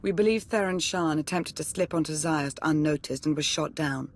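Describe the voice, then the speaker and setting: Serious, low-voiced intelligence minister. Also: female voice, normal speed, darkish tone. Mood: businesslike. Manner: formal, clipped, businesslike. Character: serious, urgent female Sith intelligence minister.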